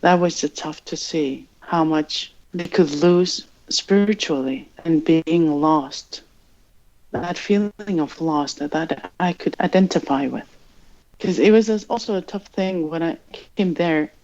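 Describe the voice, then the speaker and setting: Greenlandic woman in her thirties, journalist guest, unhurried, slightly muffled recording. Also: sits low, a little mellow. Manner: slow, thoughtful, searching for words. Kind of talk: reflective interview answers. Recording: dull lo-fi sound.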